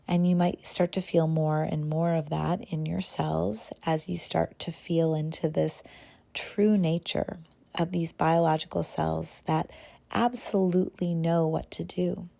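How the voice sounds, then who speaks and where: A woman leading a guided meditation as host, speaking gently and unhurriedly in short phrases with pauses between them. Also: deep voice, fairly even intonation, vocal fry. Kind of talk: guided meditation, slow soothing narration.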